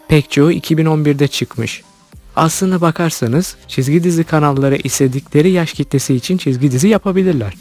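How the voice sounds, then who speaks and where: Male video host, slightly high voice, scripted commentary read, light music bed underneath. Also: darkish tone. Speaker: YouTube host commenting on cartoons. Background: background music.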